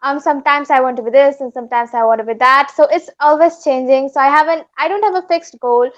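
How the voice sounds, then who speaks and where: Brief: upbeat teen vlogger from India, high voice. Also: female voice, quick pace, muffled recording. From West Bengal, India. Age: teenager. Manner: polite and upbeat, light laughs. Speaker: vlogger and student. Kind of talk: vlog intro and casual chat.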